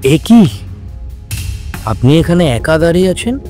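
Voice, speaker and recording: A man playing an earnest, shy, concerned gentleman in an audio story, with music underneath. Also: on the high side, big pitch swings, unhurried. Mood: worried.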